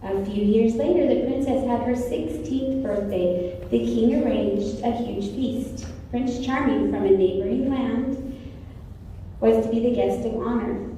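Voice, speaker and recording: A woman's voice: a little high, measured pace, dark tone. A female narrator reading a scripted storybook tale for reader's theater. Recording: very echoey distant room, faint noise.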